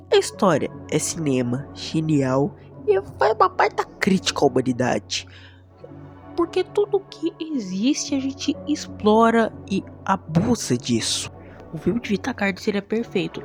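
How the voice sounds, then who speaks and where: Video essay host, Brazilian accent. Unhurried, dramatic and emphatic, with wide melodic swings and music underneath. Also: mid-range voice, darkish tone. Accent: Brazilian Portuguese. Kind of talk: enthusiastic video commentary with scripted narration.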